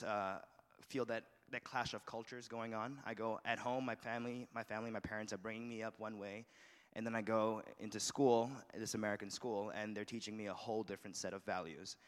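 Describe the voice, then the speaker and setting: Young man, halting testimony in a reverberant hall. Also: fairly low pitch, fairly bright tone, smooth. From Malaysia, raised in Shanghai. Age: young adult. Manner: earnest, halting, many restarts. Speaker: student giving a testimony. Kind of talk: personal testimony speech.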